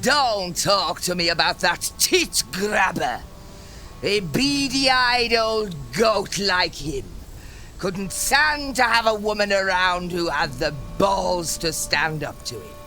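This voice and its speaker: A woman's voice: mid-range voice, lively intonation, slow pace, faint music behind, heavily acted. An actress playing a foul-mouthed, bitter village fisherwoman who scolds and insults in a dramatic video game scene. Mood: bitter, scornful. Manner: crude, insulting, sharp-tongued scold. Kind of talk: dramatic game cutscene acting.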